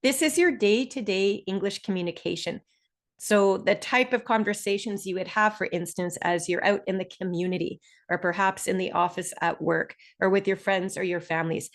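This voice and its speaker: A woman with a Canadian accent explains things clearly and deliberately as a webinar presenter, stressing key terms. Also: mid-range voice. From Ontario, Canada.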